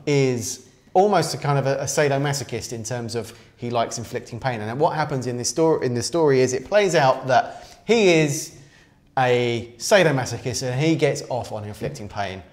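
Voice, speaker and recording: A man's voice: mid-range voice, normal speed, a little mellow. A man in his thirties co-hosting a casual film discussion, rambling with many restarts. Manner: rambling, restarts often, gestures while talking. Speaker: co-host. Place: small studio with poster wall.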